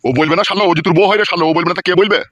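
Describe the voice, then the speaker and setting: A man's voice: high-pitched, steady tone, very fast, a bit bright, dry recording, dull audio. A man voicing an angry father who berates his grown son in a comic cartoon, blustering and swearing. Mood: furious. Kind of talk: comic cartoon dialogue in village family quarrel.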